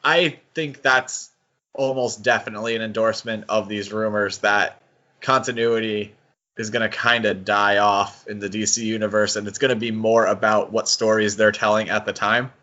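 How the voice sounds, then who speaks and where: A man's voice: lowish voice, steady tone, normal speed, sharp tone, dull audio. A man co-hosting a podcast in casual, analytical discussion, hedging and thinking aloud as he goes. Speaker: podcast co-host.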